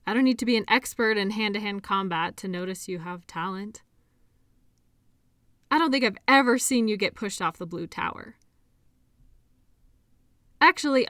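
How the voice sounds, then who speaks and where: A woman playing a caring, shy doctor in an intimate audio roleplay, talking softly and hesitantly in a conversational way. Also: medium pitch, no room sound, clean studio sound, lightly acted. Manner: soft, hesitant, giggly, conversational.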